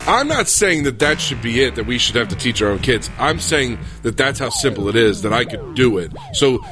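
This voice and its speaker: A man's voice: mid-range voice, sing-song, fairly quick. Earnest man debating with music behind. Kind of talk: casual political debate among friends.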